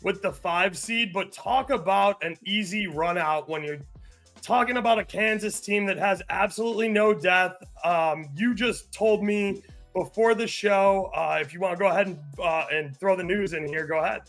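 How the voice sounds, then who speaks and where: A man hosting a sports podcast, giving steady, opinionated breakdowns in casual talk with frequent fillers. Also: high voice, normal speed, faint music behind. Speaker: podcast host.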